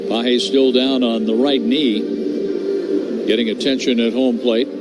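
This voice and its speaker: A man doing radio play-by-play for a baseball game, reading stats and calls in a steady, measured way. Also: mid-range voice. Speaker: play-by-play announcer. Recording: noisy broadcast audio.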